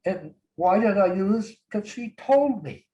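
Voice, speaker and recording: An older man tells family stories in an unhurried, tender way, pausing and chuckling softly as he remembers. His voice sits a little high for a man. He speaks over a video call. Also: animated, highs cut off. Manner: slow, gentle, warm storytelling with soft chuckles. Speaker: guest sharing memories. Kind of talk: casual reminiscing.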